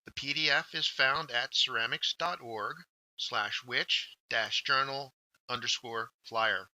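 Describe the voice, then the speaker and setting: A man presenting a scripted instructional workshop, with deliberate, emphatic phrasing. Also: mid-range voice, sharp tone, dry recording, low-quality recording. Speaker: workshop presenter, journal editor.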